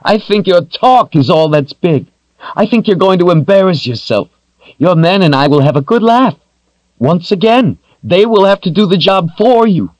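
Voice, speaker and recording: A man voicing a defiant young woman captive who screams and mocks in a high, strained voice. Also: lively intonation, moderate pace, a little mellow, no room sound, rough recording. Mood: taunting. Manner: shrill, screaming and mocking.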